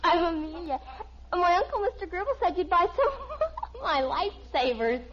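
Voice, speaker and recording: Through loud noise on a muffled old radio recording comes a chirpy, innocent American little girl. The voice is tiny, extremely high and animated, in fifties sitcom style. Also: moderate pace. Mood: eager. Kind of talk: 1950s radio sitcom acting.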